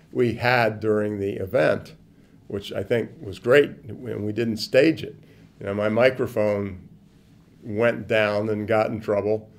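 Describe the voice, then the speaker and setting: An older man answering interview questions in a casual, animated way, on a lapel mic at an indoor event. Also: on the low side, creaky. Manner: animated, gestures with hands, swings from quiet to loud. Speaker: interviewee, business speaker. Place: indoor event interview corner before a branded backdrop.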